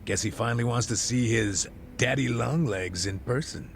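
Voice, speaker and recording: An actor voicing a blustering, slangy middle-aged ex-cop who bluffs as a rich benefactor in a video game. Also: male voice, mid-range voice, moderate pace, creaky, a little hiss or hum, close to an everyday voice. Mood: casual, pleading. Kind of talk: video game cutscene dialogue.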